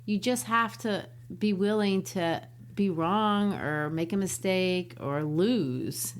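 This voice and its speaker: A woman co-hosting a casual book discussion podcast, talking in a lively way and laughing easily. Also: mid-range voice, slow pace, a little hiss or hum. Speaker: podcast co-host.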